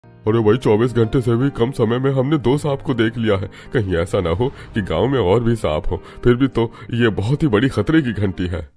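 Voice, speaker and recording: A man's voice: medium pitch, normal speed, mellow, natural voice. A man playing an earnest, alarmed ordinary villager in a cartoon story. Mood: worried. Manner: earnest, worried. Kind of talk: animated Hindi cartoon story.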